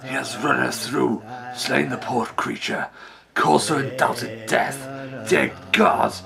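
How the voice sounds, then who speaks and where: A man's voice: mid-range voice, takes it slowly, gravelly, over music. An actor playing a pious, horrified father in an old-time radio play, speaking in melodramatic exclamations. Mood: hushed horror. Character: pious horrified father, narrating, then frantic.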